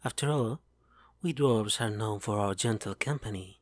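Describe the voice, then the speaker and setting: Man doing a dry, wry dwarf, low voice, close dry sound. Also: moderate pace, dry recording, natural voice. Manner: plain, dry, wry remarks. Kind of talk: fan audio drama roleplay.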